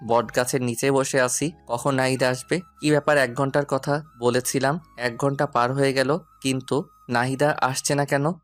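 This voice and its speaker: A man plays a shy, lovesick college student telling a romantic audio story. His pitch is average for a man. Faint music plays behind him. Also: little pitch movement, moderate pace, a bit bright, lightly acted. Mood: earnest, anxious. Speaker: narrator and lead actor. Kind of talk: first-person romantic audio story narration. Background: background music.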